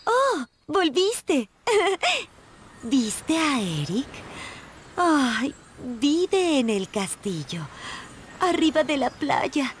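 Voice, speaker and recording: An eager teenage mermaid princess in an animated game. Her voice is high and very lively, dreamy and bubbly, with some faint noise behind it. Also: extremely slow, exaggerated voice. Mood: smitten. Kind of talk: animated video game voice acting.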